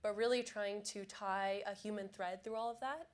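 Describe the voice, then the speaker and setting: A woman's voice: medium pitch, fairly even intonation, normal speed. A woman who is a bit sick and sounds nasal, speaking as an author on a conference panel in an upbeat, chatty way. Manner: upbeat, chatty, laughs easily.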